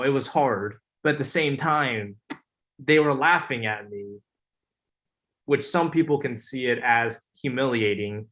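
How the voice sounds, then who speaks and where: A young man sharing his story as a webinar panelist over a video call, earnest and halting with frequent ums and uhs. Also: mid-range voice, sharp tone.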